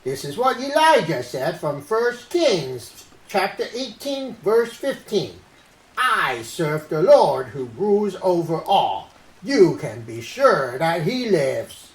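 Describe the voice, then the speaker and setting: A performer voicing Uncle Ed, a silly, earnest orangutan puppet who teaches Bible stories to children in a deliberate way. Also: medium pitch, sing-song, echoey room, low-quality recording. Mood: earnest, teaching. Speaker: host of children's Bible story show. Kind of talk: children's puppet show presenting.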